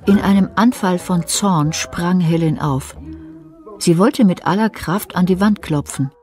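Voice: medium pitch, measured pace, a little mellow. A narrator giving a dramatic scripted reading in a German radio thriller.

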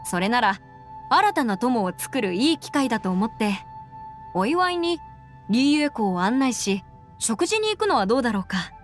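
A woman's voice: fairly high pitch, big pitch swings, normal speed. A woman voicing a composed, caring young leader in an anime game, polite but firm and a little formal. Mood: friendly, decisive. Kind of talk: Japanese anime game dub acting. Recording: music under the voice.

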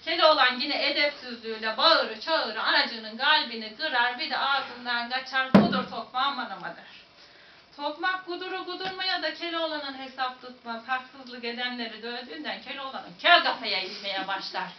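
Central anatolian woman telling a folk tale, high voice, echoey far-off room, dull sound. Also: moderate pace. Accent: Central Anatolian Turkish. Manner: lively, dramatic, addresses listeners directly. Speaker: storyteller. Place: museum room. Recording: echoey room, distant, dull, faint noise.

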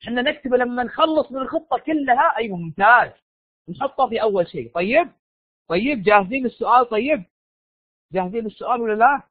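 A man's voice: very high-pitched, animated, fast. A man with a Saudi accent and a tired, strained voice, lecturing a live online class informally, repeating phrases and prodding students to answer. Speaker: university lecturer. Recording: dull lo-fi online audio, roomy echo.

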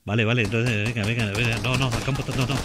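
A man's voice: mid-range voice, fairly even intonation, very fast, background noise. A man in his thirties streaming a game from a small home room on a headset, chatting casually, repeating himself and laughing often. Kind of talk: casual gaming stream chat.

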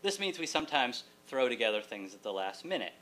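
A man's voice: medium pitch, very animated, fairly quick, fairly bright tone. A middle-aged man delivering a prepared keynote address at a lecture hall podium, articulate and wry, with joking asides. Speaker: keynote speaker, college writing teacher. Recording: hum and room noise, distant camera.

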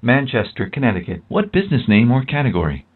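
A man's automated directory voice comes through a thin, lo-fi telephone line. It is slightly rough and swings widely in pitch as it delivers short prompts. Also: sing-song, quick pace. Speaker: automated phone directory assistant. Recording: muffled lo-fi phone call playback.

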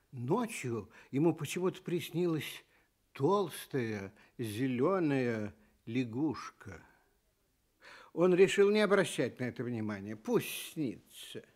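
A man narrating a scripted children's story for radio in a deliberate, dramatic way. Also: a little high, sing-song.

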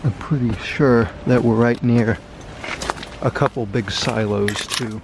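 A man narrating his own exploration of abandoned buildings in a dry, wry, deadpan way, filmed on a handheld camera. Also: medium pitch, measured pace, vocal fry, background noise. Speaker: urban explorer vlogger. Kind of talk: casual solo exploring commentary. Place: abandoned buildings and overgrown fields, indoors and outdoors.

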